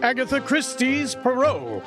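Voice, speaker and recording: A theatrical forties radio announcer. The voice is pitched extremely high and declaims grandly, with music prominent behind it. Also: male voice, wildly swooping pitch, unhurried, heavily acted. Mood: grand, suspenseful. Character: dramatic, booming radio show announcer. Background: loud musical accompaniment.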